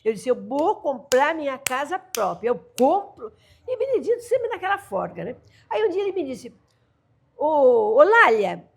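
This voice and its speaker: An older woman from the São Paulo coast with a caiçara accent, telling folk stories in a lively, laughing way as an interview guest. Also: sits high, gravelly, breathy edge. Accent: Brazilian Portuguese, São Paulo coast (caiçara). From São Paulo coast, Brazil. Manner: lively, laughing, voices family members in dialogue. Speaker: storyteller guest. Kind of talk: animated storytelling of folk tales.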